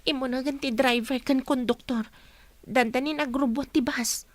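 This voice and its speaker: Woman as a high, sulky Filipino daughter. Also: steady tone, moderate pace, no echo, close to an everyday voice. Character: sulky, combative young college-bound daughter. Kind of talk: radio drama acting.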